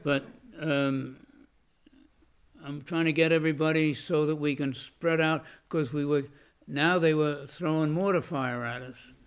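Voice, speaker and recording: Gravelly older man, halting and slow. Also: on the high side, steady tone. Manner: halting, many restarts and fillers; says he has a loud voice. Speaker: veteran interviewee. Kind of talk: oral history recollection.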